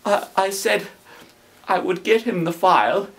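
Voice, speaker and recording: A man narrating classic literature as a reader, in a deliberate, theatrical storytelling manner. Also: high voice, creaky, far from the mic, rough recording. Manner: deliberate, dramatic.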